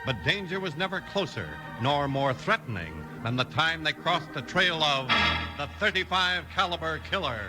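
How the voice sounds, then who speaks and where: A man's voice: on the high side, animated, normal speed, rough recording. A man announcing in scripted 1950s radio style, with an emphatic, dramatic delivery. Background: music.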